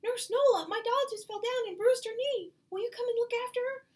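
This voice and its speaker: A woman reading a children's storybook, voicing an excitable little girl worried about her doll, with background noise. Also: very high voice, brisk, pitched above the performer's own voice. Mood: urgent, pleading. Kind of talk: children's storybook read-aloud.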